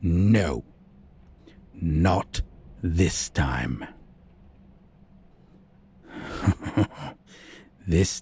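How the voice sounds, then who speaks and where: Man playing a very deep, slow sadistic vampire. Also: full character voice. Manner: slow, menacing, breathy taunting. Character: sadistic, seductive male vampire, cruel and taunting. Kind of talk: ASMR roleplay acting.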